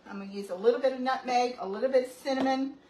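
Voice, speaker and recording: A chatty woman with a drawl walks through a recipe at home, wandering off into homey asides as she goes. She sounds a few steps back from the mic in an echoey kitchen, with steady background noise under her voice. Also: sits high, rapid-fire. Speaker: home cooking channel host. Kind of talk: casual cooking demonstration. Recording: roomy, distant, noisy home recording.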